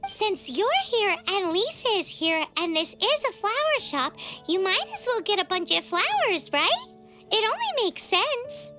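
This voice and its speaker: A woman voicing a small, pert, bossy girl companion in a video game, with a squeaky childlike voice. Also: very animated, measured pace, sharp tone, soft background music, full character voice. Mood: exasperated, nudging. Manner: refers to herself in third person, blunt. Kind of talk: cartoonish video game character acting.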